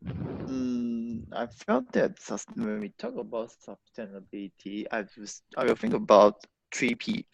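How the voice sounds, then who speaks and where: A man's voice: mid-range voice, lively intonation, unhurried, vocal fry, dry recording, poor audio. A man giving his opinion in a webinar, hesitant and searching for words. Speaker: webinar participant.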